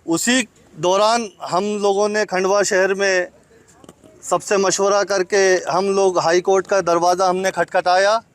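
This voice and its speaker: A man's voice: high voice, flat delivery, unhurried. A man from India speaking as a community representative, explaining matters formally and in order for a news camera.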